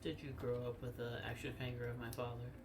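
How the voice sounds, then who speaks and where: A woman with a mid-range voice at the game table trades teasing remarks with the other players. A clear hiss runs under the recording. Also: very deep voice, little pitch movement, fast.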